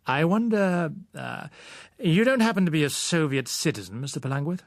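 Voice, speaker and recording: A man's voice: a little high, lively intonation, moderate pace, no echo, clean studio sound, voice pushed up. A man voicing a cautious, urbane managing director in an audiobook, formal, reflective and guarded. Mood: shrewd, reflective. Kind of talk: audiobook character voice.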